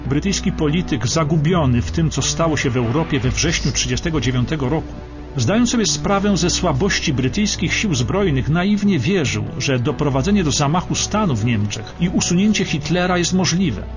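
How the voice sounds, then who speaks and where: A man's voice: a little high, lively intonation, moderate pace, highs cut off. A man delivering scripted historical documentary narration for radio. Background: clear background music.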